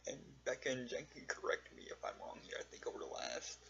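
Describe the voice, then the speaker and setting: A man recording a casual, rambling personal vlog, speaking earnestly and haltingly in short phrases with long pauses. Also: mid-range voice, big pitch swings, vocal fry, a bit bright. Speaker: vlogger. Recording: dull lo-fi audio with faint hum.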